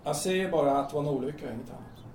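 In a roomy, slightly distant recording, a male actor plays a calm carpenter with a mellow, fairly high male voice. He is persistent and blunt as he questions, in a natural audio drama style. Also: slight background noise, close to an everyday voice. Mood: calm, consoling. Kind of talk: naturalistic radio drama dialogue.

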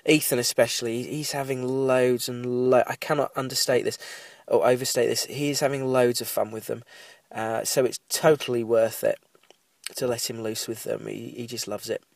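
A man's voice: medium pitch, fairly even intonation, normal speed, gravelly, dry recording. A man in his thirties with a cold, sounding nasal and bunged up as he hosts a casual, rambling TV recap podcast. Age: 30s. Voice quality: nasal, congested. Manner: rambling, digressive, apologetic asides. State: has a cold. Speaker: podcast host.

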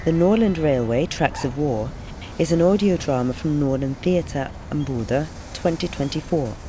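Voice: medium pitch, moderate pace, noisy background. A narrator reading scripted narration for an audio drama trailer and historical account.

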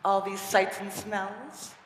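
A woman's voice: mid-range voice, fairly even intonation, takes it slowly, slight background noise, rough recording. A woman leading a congregation through a shared reading, encouraging and playful with participants. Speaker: service leader.

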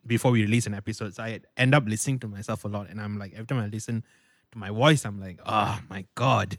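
A man with a baritone voice hosting a podcast, chatting casually in a playful, self-deprecating way. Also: fairly even intonation, quick pace, dry recording, studio quality. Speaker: podcast host.

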